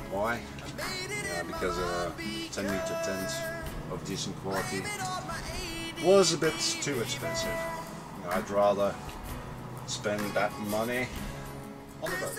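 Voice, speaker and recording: A young man casually explaining his boat build as he works under a tent outdoors. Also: fairly low pitch. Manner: relaxed, unhurried explaining while working. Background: music.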